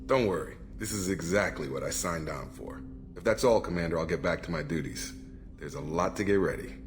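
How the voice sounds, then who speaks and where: Deep-voiced, earnest military man. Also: a little fast, faint music behind. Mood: dutiful. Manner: matter-of-fact, serious. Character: principled ex-military operative, man, steady and earnest. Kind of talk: video game dialogue acting.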